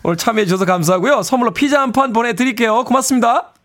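A man hosting a radio show, presenting and chatting with callers in a lively way. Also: extremely high pitch, racing. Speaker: radio host.

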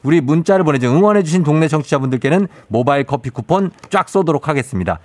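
Animated, high-pitched male radio host. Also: fast talker, no room sound. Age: 40s. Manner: playful, encouraging banter. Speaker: radio quiz show host. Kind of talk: lively radio hosting with listener texts and quiz. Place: radio studio.

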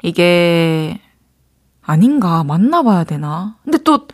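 A young woman DJ with a light Daegu lilt chats her way through listener letters on the radio. She is playful, thinks out loud, and now and then changes her mind mid-thought. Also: fairly low pitch, sing-song, slow. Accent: Daegu Gyeongsang Korean. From Daegu, South Korea. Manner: chatty, playful, thinks aloud. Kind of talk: casual radio chat reading listener letters.

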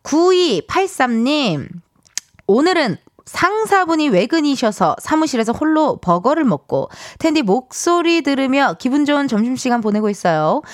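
A woman in her thirties hosting a casual radio show in a studio, chatting with listeners in a playful, teasing, animated manner. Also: high voice, moderate pace, no room sound. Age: 30s. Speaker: radio host. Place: broadcast studio.

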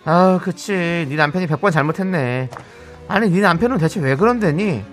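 Man acting a high-voiced, scolding woman friend. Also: normal speed, a bit bright, faint music behind, lightly acted. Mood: gossipy sympathy. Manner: gossipy, sighing, dramatic scolding. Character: sympathetic woman friend turned offended and fed up. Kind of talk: comic radio skit of a listener's story.

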